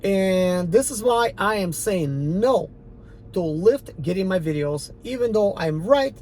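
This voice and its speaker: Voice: medium pitch, animated, slow, a little mellow, a little hiss or hum. A rideshare driver from the former Soviet Union with an Eastern European accent, talking in a casual, defiant, rambling monologue to a channel audience. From Ukraine, former Soviet Union. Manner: defiant, emphatic and rambling, with many fillers.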